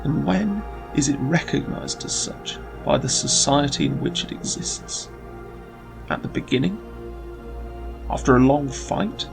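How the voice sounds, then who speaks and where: Slow, gravelly male narrator over music. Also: a little high, lively intonation. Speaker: narrator and announcer. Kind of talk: scripted dramatic narration. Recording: music behind the voice. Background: atmospheric new-age music.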